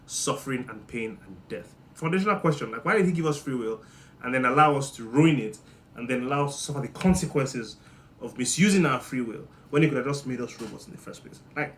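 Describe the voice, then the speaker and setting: A man from Nigeria with a Nigerian accent, giving a joking monologue that swings between loud bursts and low muttering. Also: quick pace, slight background noise. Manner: playful, teasing, jumps between loud and muttered. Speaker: monologue host. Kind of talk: comedic monologue.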